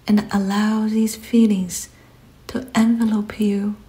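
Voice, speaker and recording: A woman leading a scripted guided meditation in a soft, breathy, often near-whispered voice, gentle and soothing, with pauses between short phrases. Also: mid-range voice, flat delivery, rough recording.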